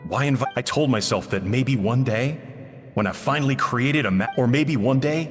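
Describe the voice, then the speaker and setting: An actor playing a remorseful, solemn chef, giving a measured, regretful confession as a video game monologue. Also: male voice, medium pitch, faint music behind, lightly acted. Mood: wistful regret. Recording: long cavernous reverb on the voice.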